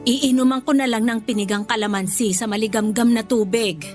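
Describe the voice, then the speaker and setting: Woman acting a tender older nanny, high voice. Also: steady tone, fairly quick, darkish tone, close to an everyday voice. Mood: gentle reassurance. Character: devoted older nanny, motherly, reassuring, stubbornly uncomplaining. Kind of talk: Filipino radio drama acting. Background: music.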